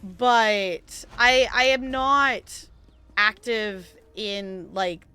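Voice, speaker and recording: A woman chats her way through a gaming stream, rambling from one thought to the next with plenty of fillers. Her voice has a bright, clear edge and sits at an ordinary pitch for a woman. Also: drawn-out words. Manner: rambling, chatty, frequent "like" and laughs. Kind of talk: casual gaming stream chat.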